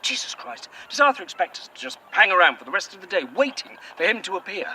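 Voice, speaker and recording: A man's voice: high-pitched, very animated, fast, bright, forceful tone, a little hiss or hum, full character voice. A man playing a bitter, sweary cynic. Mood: dry sardonic. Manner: curt, sarcastic, heavy swearing. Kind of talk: audio drama acting.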